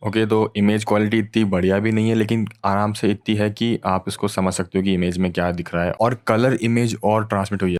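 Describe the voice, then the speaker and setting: Tutorial host, male. Low voice, little melodic movement, informal step-by-step explaining. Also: a little mellow, dry recording. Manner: informal, mixes in English tech terms.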